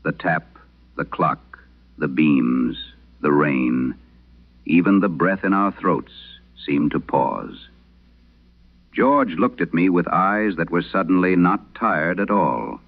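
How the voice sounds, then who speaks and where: Man acting a deep, gravelly old exorcist priest. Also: animated, phone-quality sound, exaggerated voice. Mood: grave, haunted. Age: older. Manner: solemn, deliberate, dramatic. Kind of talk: scripted horror radio story narration.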